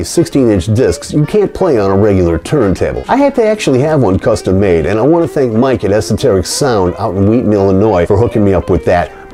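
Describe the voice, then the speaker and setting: A man in his fifties chatting to camera as a vlogger in a living room, with an animated, folksy, emphatic manner. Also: lowish voice. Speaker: vlogger and podcast host.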